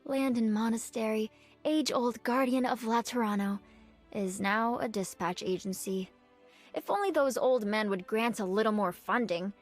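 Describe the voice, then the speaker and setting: A woman voicing an earnest, dutiful young nun archer in a video game, speaking politely and formally in measured phrases. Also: fairly high pitch, close to an everyday voice. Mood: proud, reflective. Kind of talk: scripted game character voice lines. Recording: clean dry studio, music behind.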